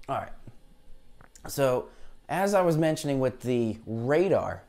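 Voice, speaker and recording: A man with a tired, low voice after heavy talking, hosting a live weather stream in a chatty, rambling way, talking into a lavalier mic. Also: dark tone. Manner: chatty, rambling, unsure asides. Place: green screen studio, indoors. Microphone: lavalier clip mic.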